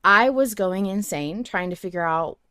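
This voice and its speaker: A woman hosting a casual fan podcast, talking in an animated, opinionated, conversational way. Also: mid-range voice, normal speed. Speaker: podcast host.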